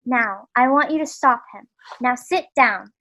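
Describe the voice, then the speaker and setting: A commanding female superintendent of angels, played by a woman. Her voice is high, her delivery businesslike and authoritative, in the style of an old radio play. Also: measured pace, pushed and bright, full character voice. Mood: brisk, commanding.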